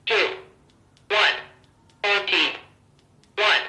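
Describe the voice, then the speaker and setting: A woman's voice: mid-range voice, extremely slow, sharp tone. A woman's automated voice prompt announcing single numbers through a small device speaker. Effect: walkie-talkie built-in voice prompt through small speaker. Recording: roomy playback from a device speaker.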